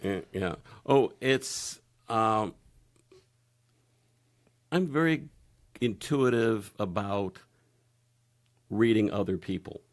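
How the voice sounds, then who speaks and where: A man speaking candidly as a podcast guest, halting and searching for his words. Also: fairly low pitch. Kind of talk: candid personal conversation.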